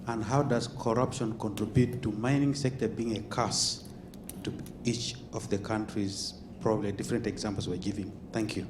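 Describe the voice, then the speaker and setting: A man's voice: lowish voice, steady tone, moderate pace, hardly any pauses. A man from Uganda, an audience member, politely asking the panel a formal question. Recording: echoey hall, noisy.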